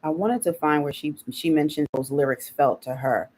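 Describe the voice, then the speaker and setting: A live-stream host reacting to clips, mid-pitched and unhurried in thought. They hesitate and restart often, with faint ambient noise under the voice. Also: a little mellow, rough recording. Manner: hesitant, restarting, thinking aloud. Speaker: channel host.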